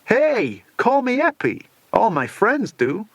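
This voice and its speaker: A scheming, commanding crime boss, voiced by a male reader in a children's audiobook. His delivery swings widely in pitch, and the recording is close and dry. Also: huge pitch swings, slow pace, a bit bright, no echo, exaggerated voice. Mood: falsely friendly. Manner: curt, commanding, smooth when sociable. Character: menacing, impatient male villain boss, falsely charming.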